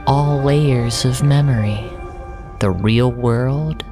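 A man narrates a dramatic story in the first person at a slow pace. His phrasing is deliberate and drawn out, broken by frequent pauses. His voice sits at a middle pitch, with strong music playing behind it. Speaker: narrator of a fiction podcast. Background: prominent music.

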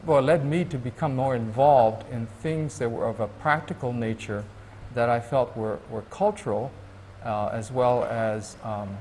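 A man's voice: medium pitch, fairly even intonation, a little mellow. Thoughtful Hawaiian man, faint hiss. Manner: thoughtful, halting, with many restarts. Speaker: interview guest. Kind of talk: reflective interview talk.

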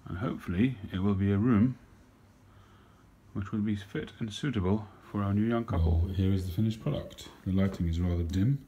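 A middle-aged man from England vlogging about pipe tobacco at his desk at home, talking in a relaxed, unhurried way with frequent ums. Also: on the low side, breathy edge. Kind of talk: casual talk to camera.

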